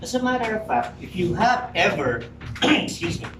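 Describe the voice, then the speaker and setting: A man's voice: high voice, sing-song, normal speed. A man in his forties giving a prepared speech to a live audience, emphatic and rhetorical, talking straight to the crowd. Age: 40s. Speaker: public speaker. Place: meeting room with audience. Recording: roomy hall, mic at a distance, noisy.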